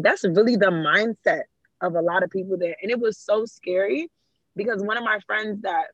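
A young woman from Ghana is the guest in a casual talk. She tells her stories with energy and acts out what other people said. Her voice is husky, the recording is dry and a little muffled. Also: medium pitch, dry recording. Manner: animated storyteller, quotes people in dialogue. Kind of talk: casual discussion. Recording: muffled, slightly lo-fi.

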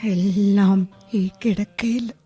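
A woman's voice: mid-range voice, fairly even intonation, extremely slow, dark tone, breathy edge, faint music behind. A woman acting a bedridden old widow in a Malayalam radio drama, with a Kerala accent, sighing between phrases, nostalgic and firm yet motherly. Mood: wistful.

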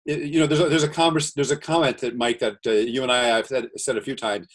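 Husky-voiced American man on a call. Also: medium pitch, steady tone. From USA. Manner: earnest, reads cards slowly and deliberately. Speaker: guest entrepreneur. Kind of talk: casual video-call chat. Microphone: video call.

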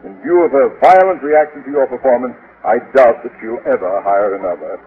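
A man's voice: medium pitch, fairly quick, slightly rough, lightly acted. Actor playing blustering middle-aged man, pompous, stern, formal diction, old radio comedy, tinny sound. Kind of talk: 1950s radio comedy acting.

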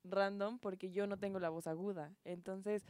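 A woman's voice: mid-range voice, steady tone, moderate pace, a little mellow. A young adult woman with a Mexican accent, telling personal anecdotes in casual chat among friends as a co-host.

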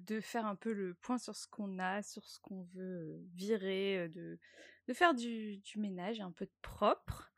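A woman co-hosting a casual podcast, chatty, often pausing to search for her words. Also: medium pitch, dry recording, studio quality. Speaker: podcast co-host.

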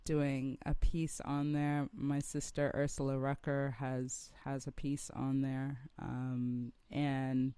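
Slow, smooth-voiced reflective woman. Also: a bass voice, completely flat delivery, warm tone, no echo. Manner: reflective, searching for words as she goes. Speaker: rock singer, guest. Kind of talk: conversational interview.

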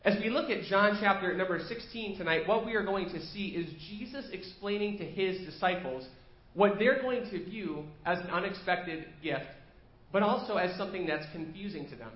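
A man's voice: high-pitched, normal speed. A man preaching an evening sermon as pastor, slightly nasal from a cold, talking in a conversational, storytelling way in a church. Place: church sanctuary. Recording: echoey church room, dull lo-fi sound.

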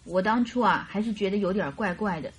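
A woman's voice: medium pitch, fairly quick, husky. An actress playing a cheerful, chatty young woman typist in a radio drama, girlish and emphatic. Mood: explaining, lively. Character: plump, cheerful, chatty young female typist in her early twenties.

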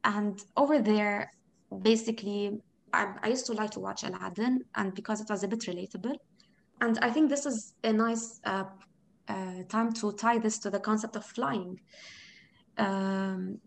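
A woman from Lebanon with a Lebanese accent, Arabic her first language, speaking reflectively as an interview guest and often pausing to rephrase her thoughts. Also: mid-range voice, vocal fry. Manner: reflective, thinks aloud, frequent "you know" and restarts. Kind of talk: conversational interview.

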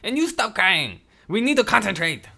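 A man voicing a frantic, bossy female kidnapper who shouts and snaps at her partners. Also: sits high, animated, fairly quick, pitched above the performer's own voice. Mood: yelling angrily. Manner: shouting, snapping.

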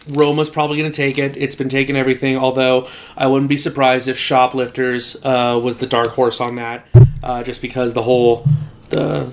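A man guesting on a podcast from home, naturally loud, rambling and swearing casually with many ums. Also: mid-range voice. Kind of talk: casual chat. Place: home apartment. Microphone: shared desk mic.

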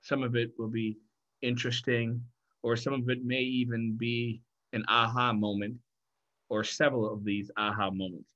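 A man from Liberia talking as an interview guest, telling his story in a thoughtful, deliberate way. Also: mid-range voice, flat delivery, fairly bright tone, muffled recording. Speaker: guest, founder of a financial firm.

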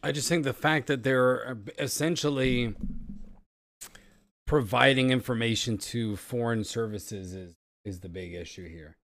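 A podcast co-host speaks in a casual chat at a middle pitch. The talk is musing and questioning, one query running into the next. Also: a little slow, fairly bright tone.

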